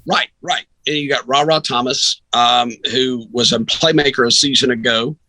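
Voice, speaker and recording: A male sports broadcaster with a bright, forceful, somewhat raspy voice. He chats through team analysis in a conversational way, slipping into acted-out quotes as he tells stories. The sound is close and dry. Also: medium pitch, steady tone, normal speed, dry recording, poor audio. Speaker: radio sports broadcaster, guest.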